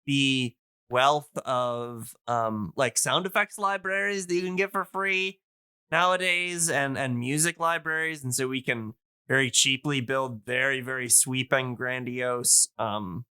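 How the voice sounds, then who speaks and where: A man with a forward, bright voice and a smooth, clean tone, recorded close and dry. He talks as an enthusiastic podcast guest, info-dumping casually and feeling his way through each point in halting runs. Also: medium pitch, dry recording.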